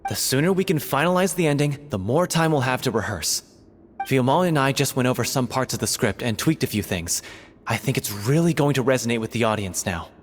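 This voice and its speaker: An actor voicing an earnest, articulate young troupe member in a video game. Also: male voice, mid-range voice, expressive, brisk, slight background noise, close to an everyday voice. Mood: earnest, hopeful.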